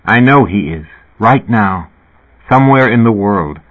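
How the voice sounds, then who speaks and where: Man doing a hushed, hesitant despairing middle-aged man, storybook reading, tinny radio sound. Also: lowish voice, animated, measured pace. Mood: hopeful, hushed. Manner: quiet and hesitant. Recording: thin phone-like radio broadcast.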